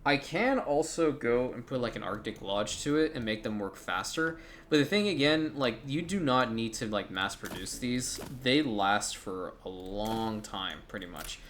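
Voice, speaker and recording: A man's voice: mid-range voice, animated, unhurried, fairly bright tone, slight background noise. Rambling man narrating his gameplay. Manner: rambling, thinks aloud, frequent restarts and swearing. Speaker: strategy game streamer. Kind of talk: casual gameplay commentary.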